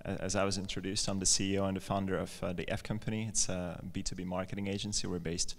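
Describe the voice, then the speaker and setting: A man's voice: sits low, level tone, fast talker. A man from Latvia telling his personal story as a guest on stage, in an informal, conversational way. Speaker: guest speaker, company founder. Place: large indoor event space, live on stage. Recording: echoey hall, live event PA.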